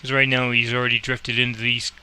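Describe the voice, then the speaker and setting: A man's voice: medium pitch, monotone, quick pace, pushed and bright, smooth. A man giving a casual, rambling game tutorial, thinking aloud as he explains and making air traffic controller calls.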